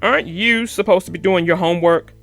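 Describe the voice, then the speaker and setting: A woman's voice: on the low side, a little fast, creaky, faint music behind, voice pushed down. A woman voicing an exasperated but caring father bear in a children's storybook, speaking emphatically. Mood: firm, fatherly. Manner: emphatic, exclaiming. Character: exasperated father bear, loud and concerned cartoon papa.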